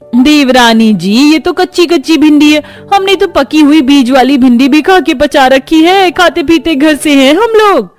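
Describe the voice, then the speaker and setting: A woman's voice: high voice, lively intonation, moderate pace, soft background music. A woman voicing a bossy, nagging old grandmother-in-law with rustic phrasing in a cartoon story. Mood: boastful. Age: older. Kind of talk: acted Hindi moral-story cartoon.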